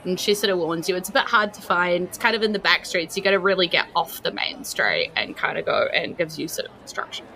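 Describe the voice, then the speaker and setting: A woman's voice: fairly low pitch, lively intonation, fast, few pauses, bright, forceful tone, rough recording. A woman with a New Zealand accent running a tabletop game, improvising descriptions in a colloquial, conversational way. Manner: conversational, colloquial, piecing descriptions together as she goes. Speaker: game master. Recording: music playing behind the voice.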